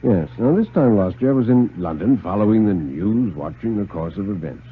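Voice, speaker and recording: A man voicing a genial, scheming old retired British police knight, theatrical and deliberate, in a radio drama. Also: on the low side, expressive, breathy edge. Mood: genial, sly. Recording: muffled 1950s radio recording.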